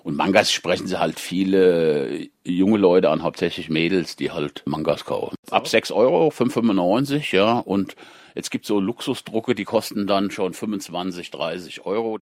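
A man's voice: low voice, normal speed. A man, a comic shop owner, chatting casually about his stock in his shop. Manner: chatty, relaxed, filler-heavy. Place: indoor shop.

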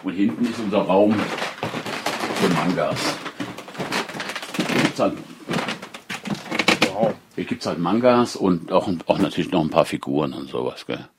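A man's voice: sits low, measured pace, long pauses, heavy background noise. A man, a comic shop owner, chatting casually about his stock in his shop. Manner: chatty, relaxed, filler-heavy. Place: indoor shop.

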